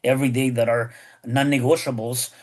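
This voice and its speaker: A man's voice: medium pitch, level tone, a little fast. A man hosting a podcast, talking casually and rambling with many repeated words and restarts. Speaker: podcast host.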